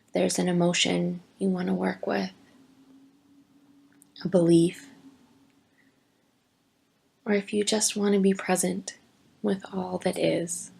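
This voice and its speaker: A woman teaching yoga nidra, giving gentle, deliberate guided meditation instructions with long pauses. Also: lowish voice, level tone, gravelly, slightly rough. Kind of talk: slow guided meditation narration.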